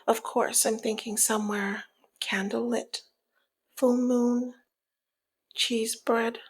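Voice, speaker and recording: Woman acting a breathy, slow-talking flirty mob boss. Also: on the high side, level tone, heavily acted. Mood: flirty, playful. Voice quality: breathy, husky, often slipping into near-whisper. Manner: slow, sultry, playful taunting. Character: flirty, teasing, dominant female mob boss. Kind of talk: ASMR roleplay, intimate one-sided dialogue.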